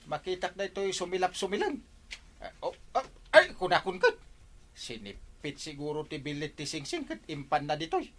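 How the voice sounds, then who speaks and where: Excitable ilocano village man, radio comedy, highish voice that jumps all over. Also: normal speed, full character voice. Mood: excited.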